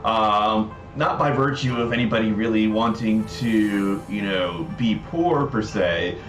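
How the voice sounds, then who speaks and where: A man's voice: on the low side, measured pace, fairly bright tone. Man in a roomy, echoey space. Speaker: presenter demonstrating a visual novel project. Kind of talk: casual livestream demo chat. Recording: roomy echo, faint music behind. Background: faint music.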